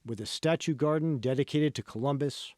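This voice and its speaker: A man's voice: mid-range voice, brisk, darkish tone, no room sound, clean studio sound, lightly acted. A man reading out a university official's letter in an audiobook, formal, emphatic and ceremonious. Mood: formal, proud.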